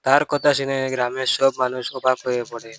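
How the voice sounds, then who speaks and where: A man's voice: mid-range voice, little pitch movement, normal speed, a bit bright, smooth, soft background music. A man narrating a scripted cartoon story.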